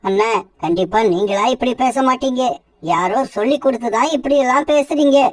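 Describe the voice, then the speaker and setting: A woman voicing a gentle young male bird, a younger brother being driven out, with a pleading, hurt manner. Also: fairly high pitch, a little fast, no echo, far from the mic, close to an everyday voice.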